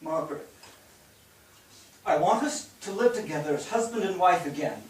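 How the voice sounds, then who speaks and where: A man's voice: sits high, expressive, normal speed. A man playing a desperate, broken nineteenth-century husband in a staged reading, pleading and anguished. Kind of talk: staged reading of a period drama. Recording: very echoey theatre, distant microphone.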